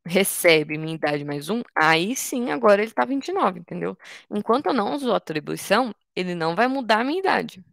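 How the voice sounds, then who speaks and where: A woman's voice: lowish voice, gravelly, a bit bright, no echo, studio quality. A woman in her twenties from Brazil, teaching an online coding workshop in a patient, informal way, explaining step by step with frequent restarts. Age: 20s. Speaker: workshop instructor.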